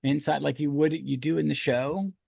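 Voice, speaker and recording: A man's voice: a little high, steady tone, normal speed, gravelly, darkish tone, no echo, studio quality. A man hosting a podcast in casual review chat, talking in a rambling, enthusiastic way. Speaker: podcast host.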